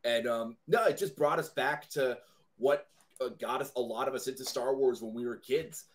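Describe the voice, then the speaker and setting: A man's voice: mid-range voice, normal speed, a little hiss or hum. A man chatting casually as a fan and guest on a podcast.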